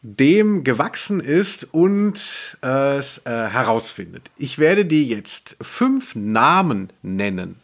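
Playful German podcast man, very lively pitch. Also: slow pace, few pauses. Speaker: podcast host and quizmaster. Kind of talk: casual podcast chat, posing a quiz.

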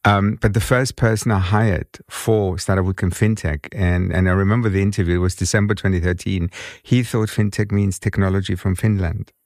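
A man's voice: low voice, fairly even intonation, fairly quick, hardly any pauses, darkish tone, dry recording, clean studio sound. A man in his fifties who grew up in Germany, with an accent that mixes British and German, telling his story as an interview guest with frequent restarts and repeated words. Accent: mixed British and German. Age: 50s. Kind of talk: conversational interview.

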